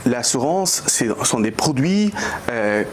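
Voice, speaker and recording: A man's voice: mid-range voice, big pitch swings, slow, a little hiss or hum. A man in his thirties, a startup CEO from Portugal, answering interview questions in a hesitant way with a Portuguese accent and many restarts. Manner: hesitant, many fillers and restarts, non-native phrasing.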